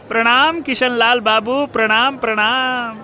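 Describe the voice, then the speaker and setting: High, sharp-voiced oily greedy shopkeeper. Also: male voice, full character voice. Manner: oily, obsequious, drawn-out flattery. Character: greedy, fawning, spiteful male shopkeeper. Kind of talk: cartoon moral-story acting.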